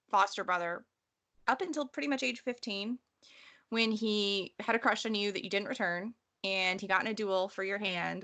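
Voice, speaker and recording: Bright-voiced woman discussing books. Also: medium pitch. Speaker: podcast co-host. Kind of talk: book discussion, casual chat.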